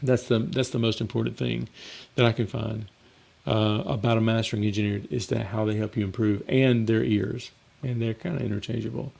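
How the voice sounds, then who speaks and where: A man hosting a casual live Q&A as a mixing coach, slightly hoarse and rambling in a conversational way. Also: fairly low pitch, little pitch movement, a little fast, a little mellow. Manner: rambling and conversational, with frequent fillers and restarts. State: slightly hoarse, losing his voice. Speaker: mixing coach and show host.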